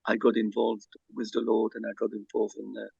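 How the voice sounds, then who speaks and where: Gravelly Belgian man on a video call. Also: lowish voice, monotone, a little fast, no echo, lo-fi sound. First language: Dutch. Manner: soft-spoken, hesitant, many fillers. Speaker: guest.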